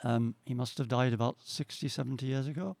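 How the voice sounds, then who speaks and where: A middle-aged or older man hosts a poetry reading in a halting, informal way. His voice is gravelly and mellow. The sound is quite dry and close. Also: mid-range voice, steady tone, dry recording. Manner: hesitant, halting. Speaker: event host introducing poets. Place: outdoors at a gallery event.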